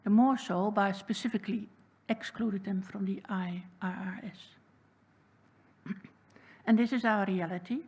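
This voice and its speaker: A woman with a Dutch accent delivers a prepared submission to a council hearing. She speaks in a measured, deliberate and earnest way. Her pitch sits at an ordinary middle level. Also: fairly even intonation, a little mellow, breathy edge. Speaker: public submitter. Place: council chamber.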